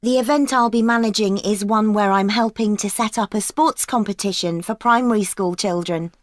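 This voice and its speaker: A woman's voice: mid-range voice, moderate pace, no room sound, studio quality, lightly acted. An actress playing a young female student talking politely to her tutor about a work placement.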